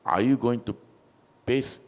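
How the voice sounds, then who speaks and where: A man's voice: mid-range voice, fairly even intonation, measured pace. A male journalist asking long, rambling questions at a press conference.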